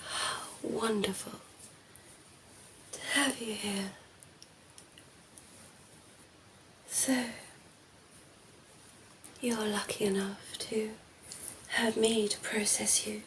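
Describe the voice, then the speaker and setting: Woman playing a cheeky female vampire, ASMR roleplay, breathy whisper, coy and teasing, slow pace, faint-to-clear background noise. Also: mid-range voice, steady tone, a bit bright, poor audio. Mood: delighted, coy. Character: ditzy, flirty female vampire, cheeky pink-lover.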